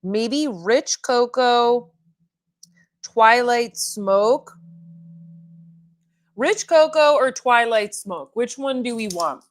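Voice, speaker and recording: A woman's voice: fairly high pitch, animated, very slow, long pauses. Woman, thirties, beauty livestream host, casual rambling chat with viewers, conversational and unscripted. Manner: chatty and rambling, with frequent "like" fillers. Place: at home doing makeup in front of a mirror.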